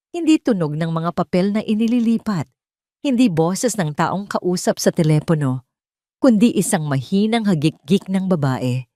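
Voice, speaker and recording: A woman narrating a scripted audio drama in a measured, dramatic storytelling manner. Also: mid-range voice, dark tone, no echo, studio quality.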